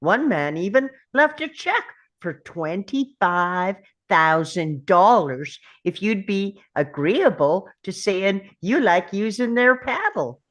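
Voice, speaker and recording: A woman acting a polite, simple Southern character in a table read of a film script, with an unhurried delivery. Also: medium pitch, lively intonation. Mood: excited, coaxing. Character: Forrest, polite simple Southern man; later his doting Mama.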